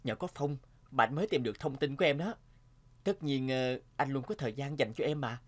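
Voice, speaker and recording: A man voicing a weary, sentimental young husband in a cartoon, speaking in an expressive, dramatic way. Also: medium pitch, fairly quick, lightly acted. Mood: tender. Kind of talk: cartoon dubbing.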